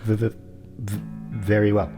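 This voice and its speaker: An actor playing a meek, courteous, big property broker who stammers on his consonants and speaks in a formal, halting way in an audio drama. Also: fairly low pitch, little pitch movement, dark tone, faint music behind. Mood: obliging. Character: large muscular male property broker, meek, anxious, courteous.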